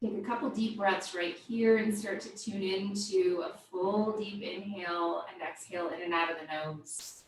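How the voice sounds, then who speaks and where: A woman teaching yoga, guiding a body scan with gentle, invitational step-by-step cues. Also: mid-range voice, fairly even intonation, normal speed, few pauses. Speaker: yoga instructor. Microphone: distant microphone. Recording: very echoey room, distant mic, faint noise.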